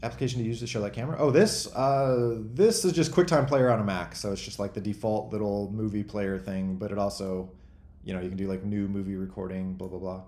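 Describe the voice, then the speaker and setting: A man in his forties chatting casually as a host, rambling and thinking out loud in a self-deprecating way. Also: on the low side, steady tone, brisk, roomy sound. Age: 40s. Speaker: host and game developer answering chat questions. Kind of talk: casual freeform chat.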